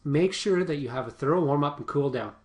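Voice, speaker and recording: A man who works as a personal trainer gives casual fitness advice with a stuffy, sniffly voice, often checking in with a quick "okay?". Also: on the high side, lively intonation, darkish tone. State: stuffy, sniffly from a nasal infection.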